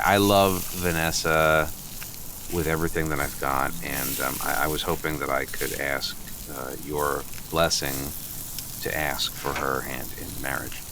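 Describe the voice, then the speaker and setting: A man playing a nervous, earnest, apologetic boyfriend in an improvised comedy radio play, halting and stammering as he talks. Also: rumbling low, steady tone. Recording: faint sizzling barbecue noise behind.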